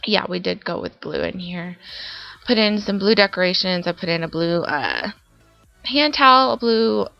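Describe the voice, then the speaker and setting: A young woman talks through her game build in a loose, rambling way, full of ums and side remarks. She sounds stuffy and congested from a cold, and her voice has a gravelly, creaky edge. The voice-over is a bit dull and lo-fi, with soft music playing underneath. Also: fairly low pitch, lively intonation, moderate pace. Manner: chatty, rambling with many fillers. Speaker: Sims building YouTuber. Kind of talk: casual voice-over narration of gameplay.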